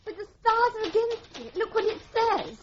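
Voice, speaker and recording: An actress playing a gullible, anxious, literal-minded typist in a radio drama, earnest and fretful. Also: female voice, very high voice, sing-song, normal speed, dull audio, a little hiss or hum. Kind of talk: 1980s radio drama acting.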